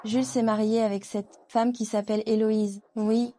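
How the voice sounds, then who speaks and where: A woman acting a jilted ex-girlfriend in a dubbed melodrama. She is haughty and wounded, with a dark, mellow yet fairly high voice over music. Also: flat delivery, normal speed, slight background noise, lightly acted. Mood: scornful.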